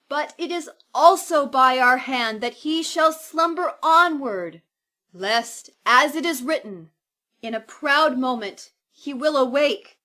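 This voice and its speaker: A woman's voice: high-pitched, sharp tone, exaggerated voice. A woman playing a stern cleric who preaches solemnly and declaims to an obedient congregation in an audio drama. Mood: fervent, foreboding.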